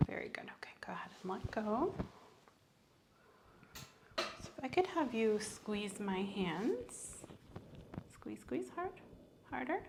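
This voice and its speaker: A soft-spoken woman playing a medical examiner in an ASMR roleplay, gently giving instructions and encouragement. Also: a little high, very animated, slow pace, gravelly, pauses often, noisy background.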